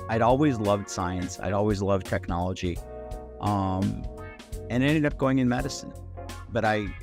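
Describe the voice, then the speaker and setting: A middle-aged man from India with an American Midwestern accent, reflectively telling his story as an interview guest, haltingly repeating words. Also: lowish voice. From India, then St. Louis, United States. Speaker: doctor, podcast guest.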